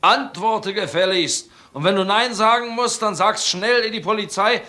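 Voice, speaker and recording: An actor playing a gruff, suspicious, domineering father in a German crime radio play, curt and dismissive. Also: high voice, moderate pace, sharp tone. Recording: roomy, faint noise and clicks.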